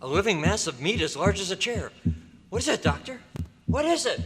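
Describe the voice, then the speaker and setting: A man acts out an eager, questioning newspaperman in an old radio play. The voice is slightly high and very animated, earnest and dramatic, ringing in a reverberant hall. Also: a little fast, breathy edge, exaggerated voice. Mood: horrified. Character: earnest, inquisitive male newspaper reporter, astonished. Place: hotel convention meeting room. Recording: echoey hall, live stage performance.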